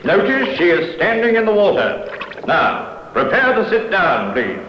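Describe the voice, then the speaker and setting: An actor playing a methodical Scotland Yard inspector who explains calmly and precisely. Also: male voice, high voice, measured pace, background noise. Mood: demonstrating. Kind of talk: 1950s radio drama acting. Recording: dull old broadcast in a reverberant room.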